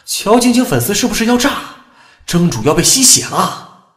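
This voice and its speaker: An actress as a cynical online gossip, mid-pitched with lively intonation. The delivery is dry and doubting, in an echoey audio drama mix with music. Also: female voice, normal speed, close to an everyday voice. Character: skeptical, cynical internet gossip commenter.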